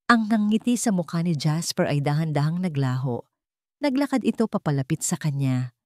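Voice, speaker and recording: Expressive Filipino woman narrating, close dry sound. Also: low voice, normal speed, dry recording. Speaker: storyteller. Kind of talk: dramatic scripted story narration.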